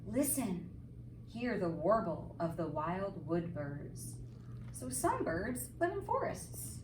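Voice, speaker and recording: A woman reading a picture book aloud to young children like a teacher, with expressive, sing-song, emphatic phrasing and simple explanatory asides. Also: fairly low pitch, slow. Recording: roomy, distant mic, faint background noise.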